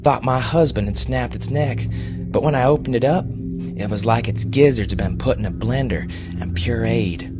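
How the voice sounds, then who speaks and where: A crude, bitter old country woman from a storybook, as a male narrator puts her on, with music behind. The voice is pitched up from the narrator's and full of tired, folksy bluntness. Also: normal speed, natural voice. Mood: folksy, agitated. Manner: folksy, ungrammatical, blunt and foul-mouthed. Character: weary, irascible old rural undead woman, crude and bitter. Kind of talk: storybook character voice.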